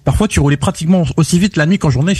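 Man, quick talker, fairly high voice, eager questions, dry sound. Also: a little mellow, slightly rough, dry recording. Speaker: co-interviewer. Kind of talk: interview questions.

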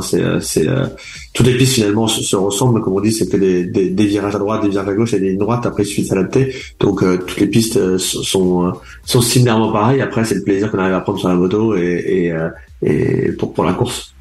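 A young man with a very low voice answers interview questions about racing in an easygoing, halting way. He stumbles through fillers and restarts his sentences often. The sound is roomy and echoey. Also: vocal fry, a little mellow. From France, raised in Charente from age four. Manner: hesitant, many fillers and restarts. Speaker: endurance motorcycle racer being interviewed.

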